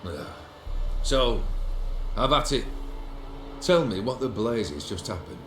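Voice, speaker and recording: A man's voice: on the low side, sing-song, slow, echoey room, soft background music, heavily acted. A man playing a proud, melancholy, world-weary nobleman in a video game, with an eloquent, formal and brooding delivery. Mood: wry, weary.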